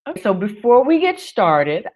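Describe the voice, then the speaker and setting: A woman's voice: mid-range voice, big pitch swings, moderate pace, darkish tone. A middle-aged woman hosting a casual conversational interview, with an affirming, encouraging manner. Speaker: host of an online interview show.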